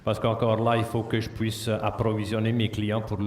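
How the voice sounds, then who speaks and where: A farmer, a man whose first language is not French, answering audience questions in a plain, halting way. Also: on the low side, completely flat delivery, a little mellow. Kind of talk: Q&A at a conference. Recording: echoey hall, distant mic.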